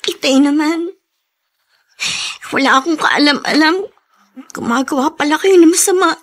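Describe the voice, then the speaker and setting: A woman's voice: sits high, moderate pace, a bit bright. A woman acting a distressed, abandoned daughter in a Filipino radio drama, plaintive and emotional but resolute. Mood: distraught, reproachful.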